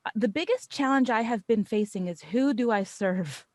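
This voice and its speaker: An American woman chatting casually as a podcast guest, telling anecdotes in an animated, self-deprecating way. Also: on the high side, moderate pace, dry recording, studio quality. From United States. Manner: animated storyteller, self-deprecating, acts out quotes. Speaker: podcast guest, voice actor and business owner.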